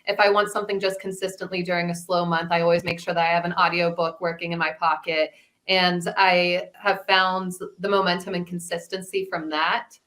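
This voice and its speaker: A woman's voice: lowish voice, flat delivery, a little fast, fairly bright tone. A woman voice actor giving casual, practical advice on a panel in a matter-of-fact way.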